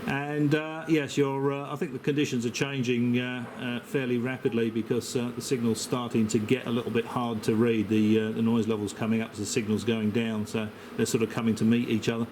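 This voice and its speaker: An Australian man with a mid-pitched voice talks over clear background noise. He is an amateur radio operator in casual conversation, rambling in a hesitant, matey way. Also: little pitch movement, brisk, few pauses, darkish tone. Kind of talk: casual radio contact chat.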